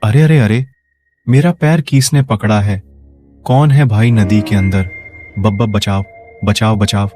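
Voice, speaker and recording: A man's voice: lowish voice, a little slow, pauses often, warm tone, faint music behind, lightly acted. A man voicing an alarmed, pleading village man in a cartoon story. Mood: panicked.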